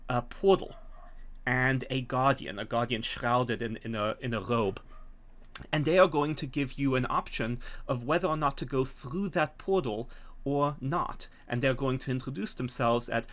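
Middle-aged man, game master, explanatory delivery, average pitch, home video call. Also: fairly bright tone. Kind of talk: explaining a tabletop game scenario.

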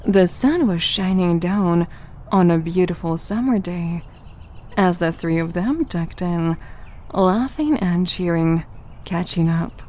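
A woman's voice: lowish voice, slow pace, dark tone, a little hiss or hum. A woman giving scripted third-person narration for an audio drama.

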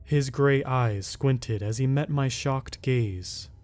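A man reads a horror tale in the first person, slow and measured. His voice is mellow and dark-toned, sitting at an ordinary pitch. The reading is dramatic but steady. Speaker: horror story narrator.

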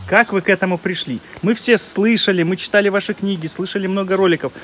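A man's voice: sits high, fast, fairly bright tone, a little hiss or hum. A man hosting an on-location interview for a channel, speaking outdoors from behind the camera. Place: outdoors at a park, street-side.

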